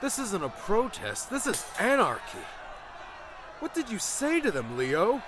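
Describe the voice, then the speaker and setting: Man voicing a resolute hero, very lively pitch. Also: a little slow, crowd noise, noisy background, exaggerated voice. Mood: alarmed. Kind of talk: acted audiobook dialogue.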